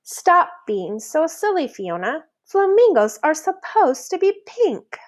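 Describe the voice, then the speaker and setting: A bossy, disapproving flamingo from a children's storybook, acted out by a woman reader. The bird scolds emphatically in a fairly high voice, drawing out its complaint at a slow pace. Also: very animated, full character voice. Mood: scolding. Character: disapproving flock of flamingo friends, scolding and squawking.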